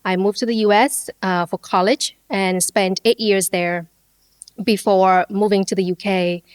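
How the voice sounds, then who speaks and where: Podcast guest: woman in her thirties from Vietnam, measured and detailed, crisp voice in a dry room. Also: medium pitch, steady tone, dry recording. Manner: measured, detailed, light laughs. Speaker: podcast guest, business owner. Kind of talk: conversational interview storytelling.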